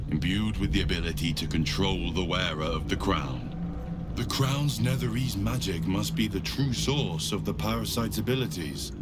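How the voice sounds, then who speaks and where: A man's voice: low-pitched, heavy background noise, lightly acted. A man playing a grave, learned guardian in a video game, speaking formally and solemnly. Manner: formal, solemn, portentous delivery. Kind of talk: dramatic video game dialogue.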